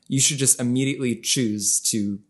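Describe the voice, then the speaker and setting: A man's voice: mid-range voice, fairly even intonation, normal speed. A young man co-hosting a podcast, explaining ideas casually and peppering his talk with "like". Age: young adult. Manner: explaining, casual, lots of "like" fillers. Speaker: podcast co-host. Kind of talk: casual conversation.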